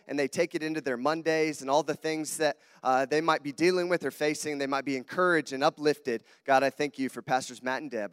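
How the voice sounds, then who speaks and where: A man's voice: on the high side, fairly bright tone, clear, resonant tone. Southern US preacher, man in a reverberant hall. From southern United States. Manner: casual, earnest, engages the congregation with questions. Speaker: guest preacher. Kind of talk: sermon with conversational asides. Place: church auditorium.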